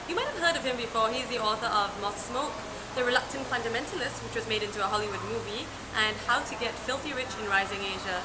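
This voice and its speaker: A young woman from Singapore hosts an interview and asks her questions in a friendly, brisk way. Her voice is fairly high, and she talks fast. She is picked up from a distance in a roomy space, with loud traffic noise underneath. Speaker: host. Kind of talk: interview hosting. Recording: roomy, distant mic with loud background hum.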